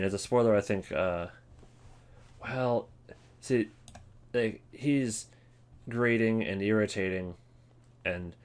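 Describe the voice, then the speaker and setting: A man giving informal commentary as host of a ranking, hesitant and thinking aloud. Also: low-pitched. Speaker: host ranking game voice lines. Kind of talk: casual commentary on a tier list.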